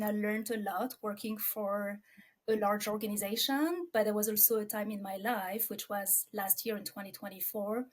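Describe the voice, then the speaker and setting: A woman in her forties from France, with a French accent, talking reflectively about herself as an interview guest. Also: medium pitch. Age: 40s.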